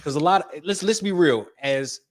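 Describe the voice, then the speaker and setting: Hesitant, slightly high male co-host. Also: expressive, normal speed. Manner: hesitant, restarting, reasoning aloud. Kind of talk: casual talk-show discussion.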